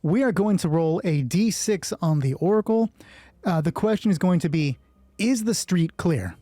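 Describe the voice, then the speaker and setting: A man hosting a solo roleplay game, narrating it dramatically and deliberately in short phrases. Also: sits high, dark tone, no room sound.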